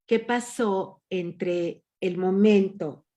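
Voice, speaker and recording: A woman's voice: medium pitch, extremely slow, warm tone. A woman leading an online workshop, patiently asking her group questions over a video call. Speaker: workshop leader.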